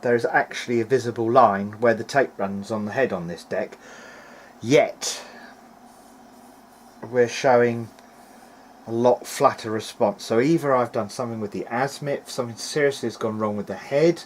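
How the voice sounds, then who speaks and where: A man with a mid-pitched voice talks casually through a hobby repair at his workbench. He is tentative and unscripted, working things out aloud as he goes. The home recording has noticeable background noise. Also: normal speed. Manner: hesitant, thinking aloud, tentative. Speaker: hobbyist video maker repairing vintage audio gear. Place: home workbench, speaking behind a handheld camera. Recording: clearly noisy amateur home recording.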